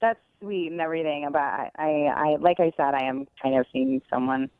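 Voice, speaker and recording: A woman's voice: sits low, fairly quick, gravelly, heavy background noise. A young woman calling a radio show, telling a personal story casually, hesitant and apologetic as she repeats herself. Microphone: telephone. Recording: tinny phone line.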